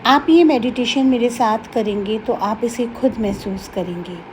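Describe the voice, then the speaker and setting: An Indian woman guiding a meditation in a soothing, instructional way, pausing often between short phrases. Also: fairly high pitch, darkish tone, a little hiss or hum, poor audio. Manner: slow, soothing, instructional, with long pauses. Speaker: meditation guide. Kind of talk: guided meditation in Hindi with some English phrases.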